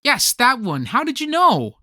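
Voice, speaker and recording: A man's voice: very high voice, wildly swooping pitch, a little slow, sharp tone, no echo, clean studio sound, heavily acted. An actor in a comic radio play playing a stubborn, boastful young man with a grumbling, defensive, wry manner.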